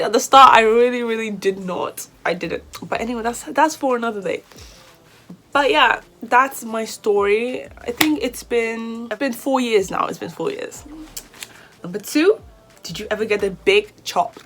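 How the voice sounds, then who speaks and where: A woman in her twenties from Nigeria with a British accent, talking casually to camera as a hair vlogger, chatty and lively and sometimes flustered. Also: fairly high pitch, moderate pace. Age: 20s. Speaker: beauty vlogger.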